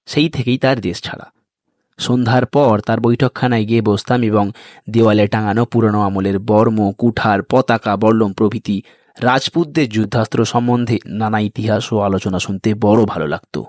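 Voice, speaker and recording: A man's voice: lowish voice, steady tone, moderate pace, a little mellow, no echo, studio quality. A man hosting and reading an audio story in a scripted narration style. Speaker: story reader and host. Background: music.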